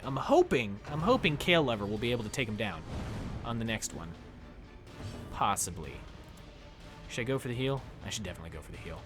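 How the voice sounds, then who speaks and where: A young man chatters through a headset as he plays, his pitch jumping up and down a lot. Faint game music sits underneath his voice. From United States. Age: young adult. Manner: chatty, animated, talks to chat while playing. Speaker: streamer. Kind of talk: casual gameplay commentary with chat. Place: small room indoors. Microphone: headset mic.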